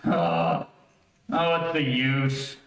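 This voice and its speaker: A man's voice: mid-range voice, sing-song, extremely slow, a bit bright, slight background noise, exaggerated voice. A man playing an eager, easily exasperated matchmaking father in an old-time radio comedy. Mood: exasperated, bossy. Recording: echoey room, distant mic.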